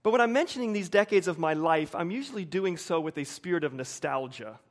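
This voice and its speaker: High-voiced American man preaching, measured and emphatic. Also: animated. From United States, suburban Wilmington. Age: 40s. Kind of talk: sermon with personal anecdotes.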